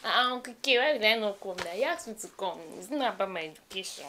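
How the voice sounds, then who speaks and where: A woman's voice: mid-range voice, expressive, fast talker, sharp tone, a little hiss or hum. A woman with a Nigerian accent playing a timid, polite young female student. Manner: hesitant, polite.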